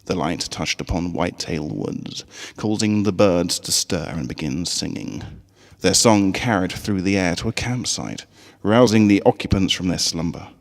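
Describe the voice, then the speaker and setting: A man reading a story aloud as an expressive narrator, with a long hall-like reverb on the recording. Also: low-pitched, normal speed. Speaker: fan fiction reader.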